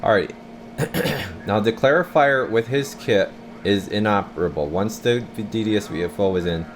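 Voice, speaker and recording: A man's voice: lowish voice, normal speed, a little hiss or hum. A man talking casually and unscripted as he gives a laid-back, rambling radio equipment demonstration. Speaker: channel host demonstrating a CB radio. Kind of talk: casual unscripted demo talk.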